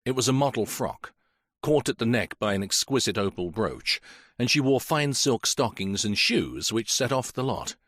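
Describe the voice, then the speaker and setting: A man narrating a mystery audiobook in a measured, expressive reading. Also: medium pitch, no room sound, clean studio sound. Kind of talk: scripted story narration.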